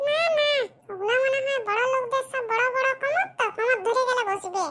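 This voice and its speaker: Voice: very high-pitched, brisk, over a noisy crowd. A performer voicing a cheeky, grumbling village boy with a rural West Bengal accent in a comedy cartoon. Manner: brash, grumbling colloquial banter. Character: cheeky, mischievous poor village boys with squeaky voices.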